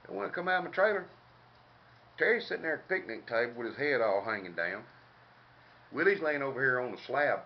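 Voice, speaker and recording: An older man with a Southern US accent tells a casual comic story in a folksy, drawling country way with colorful slang. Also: fairly low pitch. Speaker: comedic storyteller. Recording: dull lo-fi home recording, background noise.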